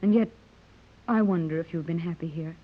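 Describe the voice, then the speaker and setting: A woman's voice: fairly low pitch, brisk, natural voice. A woman playing a tender, anxious wealthy American mother, earnest and melodramatic in 1930s radio serial style. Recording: muffled old 1930s radio recording.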